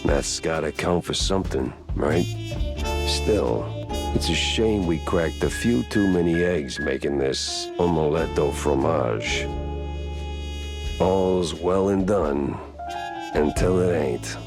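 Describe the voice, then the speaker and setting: A man's voice: rumbling low, takes it slowly, over music, heavily acted. A man playing a world-weary, wisecracking mouse private detective in a noir video game, with wry, slangy tough-guy delivery. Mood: wistful, wry. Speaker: detective protagonist and narrator. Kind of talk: 1930s noir detective narration.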